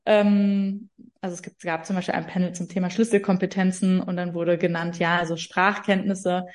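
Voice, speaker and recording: A woman who moderates a trade fair, recounting a story in a measured, explanatory podcast interview. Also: fairly low pitch, level tone. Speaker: event moderator.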